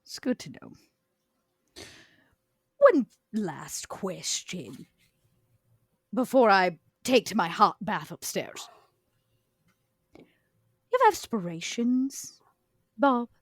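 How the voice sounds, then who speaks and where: A woman playing a poised, probing vampire outsider, speaking in a deliberate, wryly formal, coaxing way in a roleplaying game. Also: medium pitch, very animated, husky, dry recording, clean studio sound, full character voice. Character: poised, probing, socially outcast female Toreador vampire. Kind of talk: improvised in-character roleplay.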